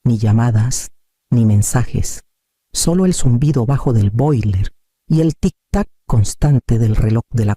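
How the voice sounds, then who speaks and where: Male story narrator, very mellow tone, deliberate scripted delivery, short phrases, dry studio-like sound. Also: medium pitch, slightly rough, no echo. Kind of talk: scripted dramatic narration, first-person story.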